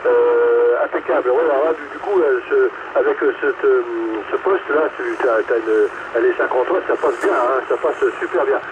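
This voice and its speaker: A man's voice: medium pitch, normal speed, hardly any pauses, narrow, tinny audio, background noise. A man chatting casually and hesitantly over two-way radio as a hobbyist, often repeating his words. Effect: two-way radio transmission. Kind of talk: casual amateur radio chat.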